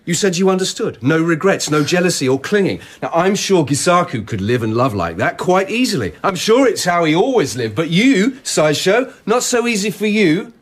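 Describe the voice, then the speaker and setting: An actor playing a proud, jealous court lieutenant in a radio drama, blunt and interrogating. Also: male voice, high voice, sing-song, normal speed, hardly any pauses, slightly rough, heavily acted. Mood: harsh, sneering.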